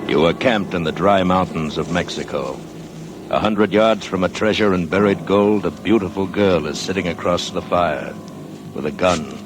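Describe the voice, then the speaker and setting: A male announcer delivering a slow, ominous dramatic teaser in 1940s radio style. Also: deep voice. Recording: noisy old radio broadcast, distant.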